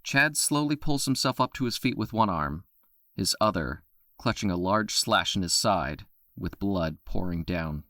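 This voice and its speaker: Low, bright male drama narrator. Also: a little slow, dry recording, studio quality. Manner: steady storytelling delivery. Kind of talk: scripted narration.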